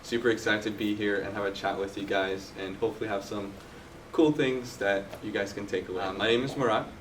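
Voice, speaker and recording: A young man from Turkey, telling his story in a relaxed, chatty way with many fillers at a live podcast event. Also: on the low side, fairly quick. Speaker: guest entrepreneur. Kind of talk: casual storytelling interview. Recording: distant mic with faint background noise.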